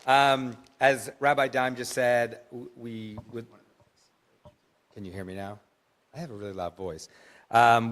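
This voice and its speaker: A man's voice: fairly low pitch, fairly bright tone. A man serving as synagogue president, giving chatty, enthusiastic announcements with joking asides to the congregation. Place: large synagogue sanctuary.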